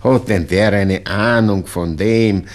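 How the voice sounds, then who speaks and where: An actor playing an anxious, doting old father with a Viennese accent in a radio drama, pleading haltingly and repeating himself. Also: lowish voice. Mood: pleading, consoling. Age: older. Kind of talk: 1960s German-language radio drama acting.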